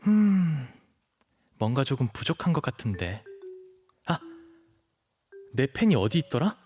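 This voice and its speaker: Casting: male actor as cute young dog-boy shapeshifter, eager and pleading, highly animated delivery, faint music underneath, audio drama. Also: a little slow. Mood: scheming, eager. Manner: boyish, cutesy, pleading. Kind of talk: scripted Korean audio drama acting.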